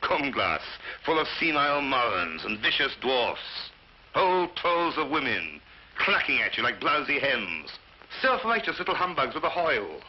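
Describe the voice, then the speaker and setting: An actor playing a sardonic, weary, bitter brother in a scornful, theatrical 1940s British film style. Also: male voice, on the high side, husky, exaggerated voice. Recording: muffled 1940s film soundtrack.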